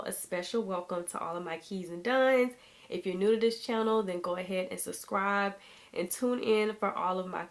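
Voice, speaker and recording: A thirty-year-old woman vlogging to camera in a chatty, casual way, her throat a little sore as she gets over being sick. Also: medium pitch. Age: 30s. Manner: chatty, addresses viewers as y'all. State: recovering from illness, sore throat.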